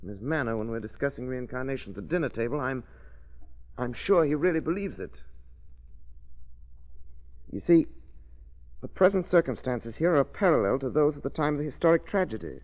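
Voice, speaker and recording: Man voicing a shrewd gentleman detective, 1930s radio play, measured, deliberate, mid pitch, dull old recording. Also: animated, close to an everyday voice. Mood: suspicious. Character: calm, shrewd gentleman sleuth, adult man. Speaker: radio drama lead.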